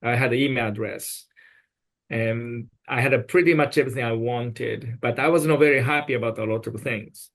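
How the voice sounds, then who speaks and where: A man's voice: medium pitch, moderate pace, a bit bright. Man giving earnest advice. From Japan. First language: Japanese. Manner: earnest, advice-giving, with non-native phrasing. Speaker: guest. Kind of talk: podcast conversation.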